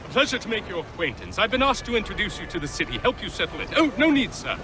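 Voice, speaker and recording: An actor playing an eager, deferential young gentleman aide, speaking politely and formally in a video game. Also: high voice, sing-song, quick pace, background noise. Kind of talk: video game cutscene acting.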